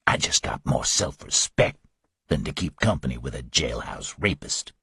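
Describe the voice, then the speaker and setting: A man puts on a cool, menacing Southern man with a Southern US drawl, his voice dropped very deep and unhurried. He taunts with measured calm. The recording is close and dry. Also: gravelly, breathy edge, dry recording, full character voice. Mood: taunting.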